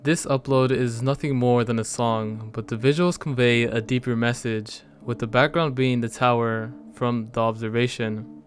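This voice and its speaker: A man giving a measured, serious scripted narration in a storytelling manner, as the narrator of an analysis video. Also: mid-range voice. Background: music under the voice.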